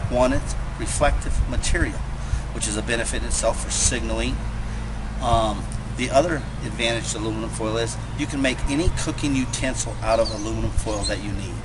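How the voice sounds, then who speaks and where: A man with a middle-pitched voice explains survival gear in a plain, matter-of-fact way, sometimes restarting a thought. He is recorded outdoors, with steady traffic and road noise clearly heard behind him. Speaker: host showing survival gear. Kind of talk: unscripted how-to talk to camera.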